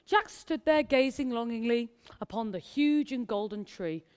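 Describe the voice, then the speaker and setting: A woman's voice: fairly high pitch, lively intonation, normal speed, darkish tone, clear, resonant tone, close to an everyday voice. A woman narrating a rhyming story theatrically on stage. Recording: stage performance in echoey hall.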